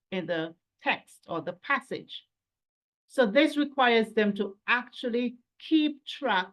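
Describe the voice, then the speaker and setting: A woman's voice: medium pitch, dull audio. A woman presenting a webinar as an educator, explaining in a didactic way with rhetorical questions and step-by-step lists.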